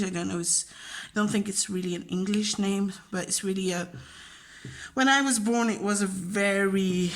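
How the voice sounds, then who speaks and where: Chatty Flemish woman with laughs. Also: medium pitch. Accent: Flemish Dutch. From Belgium. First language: Dutch. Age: young adult. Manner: chatty, rambling, laughs often, many fillers. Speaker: vlogger and teacher. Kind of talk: casual chat answering a question tag.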